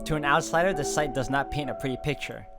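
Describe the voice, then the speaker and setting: A man reading a visual novel aloud as dramatic, brooding first-person narration, phrasing it deliberately. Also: on the high side. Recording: background guitar music over the voice.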